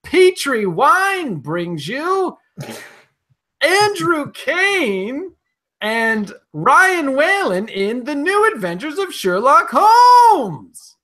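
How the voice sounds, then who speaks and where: Forties radio announcer, acted by a man: emphatic, folksy, comic salesmanship, lively intonation, forward bright tone. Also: very high voice, drawn-out words, voice pushed up, full character voice. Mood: hearty, selling. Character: chipper 1940s radio announcer and wine pitchman. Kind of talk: 1940s radio announcing, played for laughs.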